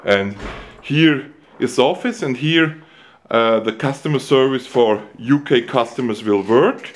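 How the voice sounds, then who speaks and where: A man, founder of a plant nursery, giving a walking tour in halting with many pauses. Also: medium pitch. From Switzerland. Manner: halting non-native English with frequent uh pauses. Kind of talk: casual walking tour narration. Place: outdoors at a nursery, cold wind. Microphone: camera mic, walking behind the camera.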